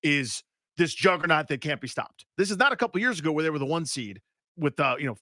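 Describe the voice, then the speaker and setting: A man's voice: a little high, lively intonation, fast talker, bright, forceful tone, no room sound, clean studio sound. A man co-hosting a sports talk radio show who lays out his reasoning at length. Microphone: studio mic.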